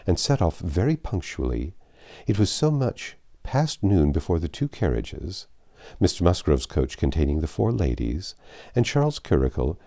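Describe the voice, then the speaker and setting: A man narrating a classic novel aloud in a measured, formal storytelling manner. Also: low voice, warm tone, no echo, clean studio sound. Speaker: audiobook narrator. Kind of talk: literary reading of a classic novel.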